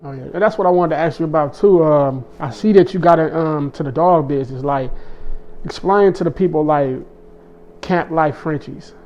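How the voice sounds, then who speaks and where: Higher-voiced man hosting an interview. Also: moderate pace, darkish tone, muffled recording. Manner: laid-back, supportive questioning. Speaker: interview host. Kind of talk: casual interview questions.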